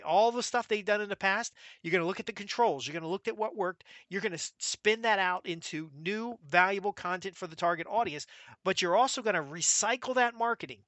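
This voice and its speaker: An American man hosting a solo business podcast, talking in a brisk, emphatic way and rattling off lists. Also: high-pitched, fairly bright tone, dry recording. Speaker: podcast host.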